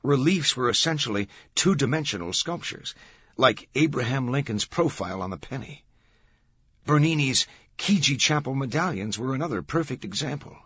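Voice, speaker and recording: Man playing an excitable, emphatic scholar. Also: medium pitch, normal speed, slightly rough, dry recording, highs cut off, voice pushed up. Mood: excited lecturing. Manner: emphatic, lecturing. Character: excitable male scholar, urgent and professorial.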